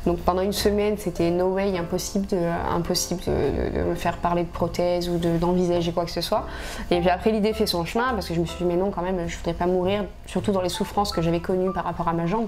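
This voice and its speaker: A woman's voice: on the low side, a little mellow. A woman in her twenties from southern France, talking frankly as an interview guest with many fillers and restarts. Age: 20s. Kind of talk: casual interview talk.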